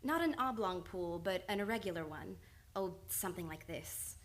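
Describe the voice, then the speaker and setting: A woman's voice: lowish voice, lively intonation. A woman playing a precise, reserved young lady psychiatrist. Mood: polite. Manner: formal, measured, articulate. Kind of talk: old-time radio play acting.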